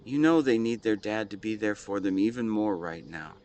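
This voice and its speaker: Man acting a low-voiced, weary, devoted husband. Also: animated, a little mellow, natural voice. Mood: hesitant, pleading. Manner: halting, earnest, intimate delivery. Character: weary, devoted husband and shop owner, tender and grieving. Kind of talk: scripted dramatic monologue addressed to a listener. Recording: clear background ambience with nature sounds.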